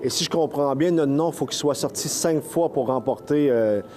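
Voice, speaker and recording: Quebec man, TV field reporter, mellow tone, slightly high, informal questions. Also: normal speed. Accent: Quebec French. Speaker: TV reporter interviewer. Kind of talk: field interview.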